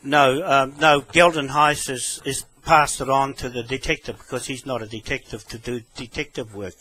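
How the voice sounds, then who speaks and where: A man with a New Zealand accent talking on the telephone in a halting, repetitive, rambling way. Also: mid-range voice, fairly even intonation, bright, forceful tone, rough recording. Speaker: caller with a complaint. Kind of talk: phone conversation.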